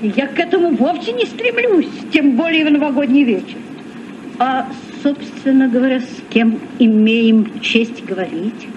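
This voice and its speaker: An actor playing an eager, excitable young captain in a Soviet children's radio play. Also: male voice, very high-pitched, moderate pace, noisy background. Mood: curious. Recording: dull old radio recording.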